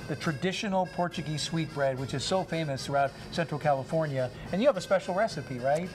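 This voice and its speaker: Effusive man hosting a food show. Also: fairly high pitch. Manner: effusive, gushing over food.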